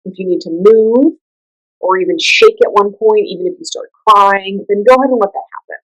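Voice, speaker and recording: A woman leading a guided meditation, giving gentle instructions in a soothing, unhurried and conversational way. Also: mid-range voice, low-quality recording.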